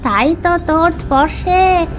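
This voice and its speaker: A woman voicing a kindly old village woman in a cartoon, speaking in a slow, drawn-out way as she tests and blesses. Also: extremely high pitch, expressive, faint music behind, pitched above the performer's own voice. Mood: grand, solemn. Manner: slow, drawn-out, addressing youngster familiarly. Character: old village woman granting boons, kindly but testing.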